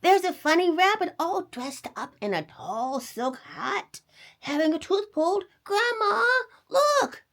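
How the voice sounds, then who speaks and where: Woman as an excitable, high-pitched little boy. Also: slow pace, exaggerated voice. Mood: excited. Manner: exclaiming. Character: excitable young boy afraid of the dentist.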